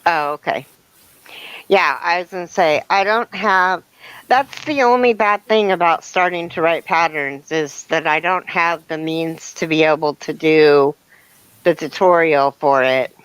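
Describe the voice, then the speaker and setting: A woman's voice: deep voice, a little slow, a bit bright, clear, resonant tone, no echo, low-quality recording. A woman in her fifties hosting a crafting live stream, chatting casually and reading out viewer comments. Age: 50s. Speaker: crochet channel host.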